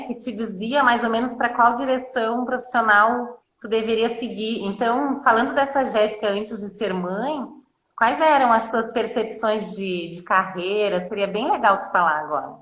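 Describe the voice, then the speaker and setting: Brief: a gentle woman interviewer, recorded in an echoey room. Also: fairly high pitch, normal speed. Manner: gentle, asks long questions, says "tu". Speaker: circle facilitator and interviewer. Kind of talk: livestream interview. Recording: echoey livestream audio.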